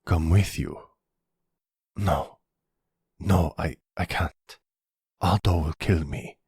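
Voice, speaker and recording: A man playing a timid, wounded young mobster son with a Russian accent, speaking haltingly and formally in an ASMR roleplay drama. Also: deep voice, a little mellow, slightly rough, dry recording, clean studio sound, exaggerated voice. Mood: subdued, hurt. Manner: halting, formal phrasing without contractions.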